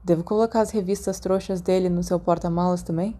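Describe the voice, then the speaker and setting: A woman voicing an earnest, secretive schoolboy wizard who confides in a friend in a hurried, guarded way. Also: fairly low pitch, steady tone, mellow, close to an everyday voice. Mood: guarded, insistent.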